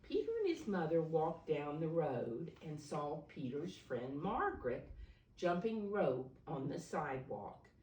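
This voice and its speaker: A woman speaking as a preschool teacher at storytime, explaining things simply and deliberately to young children. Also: low-pitched, big pitch swings, warm tone. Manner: simple, deliberate explaining, refers to herself in third person. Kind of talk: read-aloud storytime for preschoolers. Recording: roomy echo, distant microphone.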